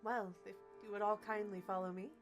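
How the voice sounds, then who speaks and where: A woman's voice: mid-range voice, flat delivery, a little fast, over music, lightly acted. A woman voicing a cheerful, friendly shark-girl ship stewardess with a polite, welcoming manner in a visual novel.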